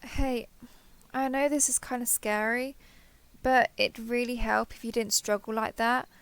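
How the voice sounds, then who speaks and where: A woman's voice: a little high, vocal fry, a bit bright, clear, resonant tone. A woman playing a sheltered young female alien, naive and obsessively devoted, talking earnestly and intimately to the listener in an audio roleplay. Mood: soothing, possessive. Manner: earnest, wheedling, over-explaining.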